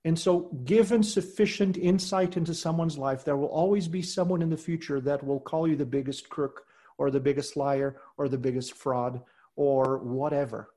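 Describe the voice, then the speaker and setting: A man's voice: fairly high pitch, steady tone. Earnest man. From Portugal. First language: Portuguese. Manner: earnest and measured, voice trailing off softly at phrase ends. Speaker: guest. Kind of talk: reflective interview talk.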